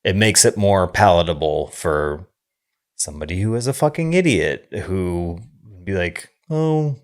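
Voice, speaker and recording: A man co-hosting a casual film discussion, speaking in a halting, measured way with some swearing. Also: low-pitched, expressive. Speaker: podcast co-host reviewing films.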